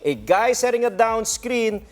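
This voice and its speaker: A middle-aged man, a coach explaining basketball plays in a deliberate, emphatic teaching manner in a TV studio. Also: extremely high pitch, lively intonation. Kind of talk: explanatory play breakdown.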